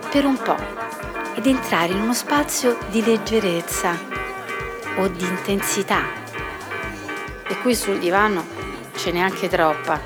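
A weary, lovelorn peacemaker played by a woman speaks at a middle pitch. She is reflective and wistful, as in a radio drama monologue, with guitar music clearly behind her. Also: lightly acted.

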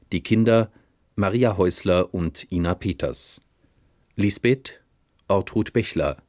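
Male radio announcer, unhurried, fairly deep, dry studio sound, formal scripted credits. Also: darkish tone, no room sound. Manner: measured, formal enunciation.

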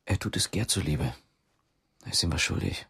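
An actor playing a terse, guarded man on the run in a German radio drama, with a husky, gravelly voice. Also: low-pitched, animated, moderate pace, fairly bright tone, exaggerated voice. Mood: probing.

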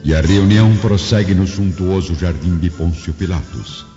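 A man's voice: low-pitched, normal speed. A man narrating a scripted radio drama in a theatrical, solemn storytelling manner. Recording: dull lo-fi audio, long reverb, music.

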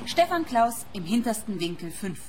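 A woman's voice: fairly high pitch, sing-song, moderate pace, a little mellow. An unamused young hotel receptionist in a radio play. She is curt and cool, with faint ambient noise behind her. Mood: cool, calculating. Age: young adult. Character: serious, curt, money-minded young female hotel receptionist.

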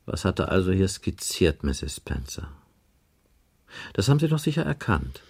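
An actor playing a stern detective inspector in a German radio crime drama, questioning in an insistent, clipped, probing manner. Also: male voice, deep voice, big pitch swings, moderate pace, a little mellow, husky, heavily acted. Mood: grave, insistent.

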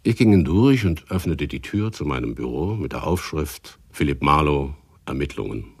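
An actor playing a world-weary, wry private detective, narrating and acting in a hard-boiled radio drama with laconic, dry delivery. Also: male voice, extremely low pitch, animated, moderate pace, husky, exaggerated voice. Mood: matter-of-fact, reflective. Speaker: narrator and lead character.

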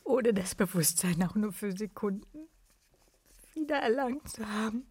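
A woman narrating a literary radio play in a hushed, scripted style. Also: medium pitch, animated, measured pace, slightly rough, no room sound.

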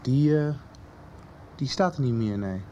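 An actor in a Dutch radio soap playing an earnest, somewhat halting teenage schoolboy. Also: male voice, mid-range voice, animated, dark tone, highs cut off, a little hiss or hum, natural voice. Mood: urgent, guarded. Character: earnest teenage schoolboy searching for his sister.

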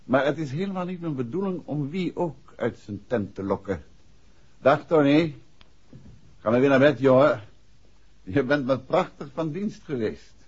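An actor playing a self-assured, wry detective husband with a brisk, teasing manner, in 1960s radio drama style. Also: male voice, mid-range voice, animated, a little mellow, breathy edge, dry recording. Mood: probing, confident. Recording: dull, noisy 1960s radio recording.

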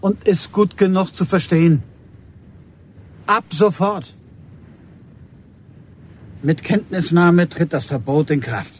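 An actor playing a dutiful, stubborn official in a radio drama, speaking stiffly in clipped, insistent phrases. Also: male voice, fairly high pitch, slow, long pauses, slight background noise. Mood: officious. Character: dutiful, stubborn male official delivering orders.

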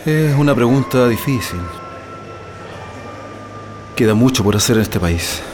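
A man playing an earnest political prisoner in a radio drama, with a Chilean accent and halting speech. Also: medium pitch, lively intonation, over music, close to an everyday voice. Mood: weary, reflective. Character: earnest male political prisoner plotting an escape.

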